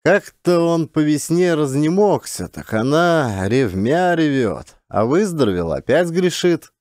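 A man's voice: a little high, very animated, measured pace, darkish tone, no echo, studio quality, voice pushed up. A man voicing a brash, idle, round-faced peasant from a forest post, grumbling bluntly in folksy rural speech for an audiobook. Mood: grumbling, self-justifying.

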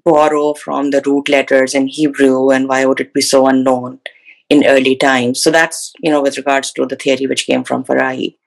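A woman's voice: rumbling low, little pitch movement, moderate pace, vocal fry, low-quality recording. A woman, a student, asking the lecturer a long question, hesitant and full of "you know" and "um".

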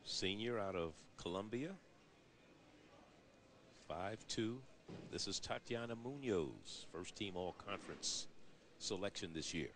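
An American man delivers play-by-play sports commentary in a somewhat low voice. The broadcast carries clear venue noise behind him. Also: lively intonation, takes it slowly, pauses often. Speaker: play-by-play announcer. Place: bowling centre during televised championship. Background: loud bowling venue ambience.

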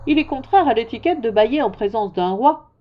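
A woman's voice: a little high, animated, fairly quick, highs cut off, natural voice. A woman voicing a pompous male king in a storybook, an absolute but kindly monarch who talks in majestic commands. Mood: commanding.

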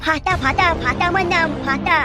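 A cocky little cartoon schemer. The childlike voice sits extremely high and taunts boastfully. Loud music plays right under it. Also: moderate pace. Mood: boastful, taunting. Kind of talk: comic cartoon dubbing.

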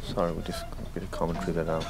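A man commentating on a live snooker stream in a loud, chatty way, often repeating words. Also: low voice, flat delivery, racing. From Greek heritage. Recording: noisy live stream audio.

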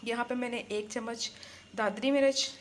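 A fairly high-voiced woman talks viewers through a recipe in a relaxed, repetitive way, blessing each step as she cooks. She is recorded on a phone in a home kitchen. A faint hum of room noise sits behind her voice. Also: normal speed. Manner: chatty, repeats words, Islamic blessings before each step. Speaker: cooking channel host. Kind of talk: casual cooking tutorial narration.

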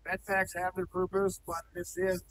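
A man's voice: high-pitched, completely flat delivery, normal speed, fairly bright tone, recorded from a distance, slight background noise. A man in his thirties, a cattle farmer talking plainly in an on-site interview in a large barn, with frequent ums and restarts. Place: indoor cattle barn, large, open-sided.

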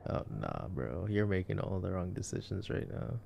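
Young male, deep and heavily creaky, for sarcastic ASMR boyfriend movie commentary; slangy, understated. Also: sing-song, moderate pace, muffled recording, a little hiss or hum. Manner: sarcastic, slangy, low-key. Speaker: ASMR roleplay performer.

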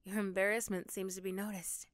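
A woman's voice: mid-range voice, little pitch movement, fairly quick, no room sound, clean studio sound. A woman reading scripted second-person story narration for an ASMR roleplay.

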